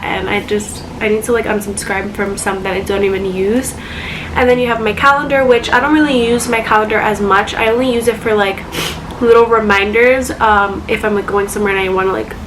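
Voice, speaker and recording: A woman's voice: a little high, noisy background. A young woman vlogging casually about her phone apps, chatty and full of fillers, her voice slightly congested. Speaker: YouTuber. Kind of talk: casual phone tour vlog.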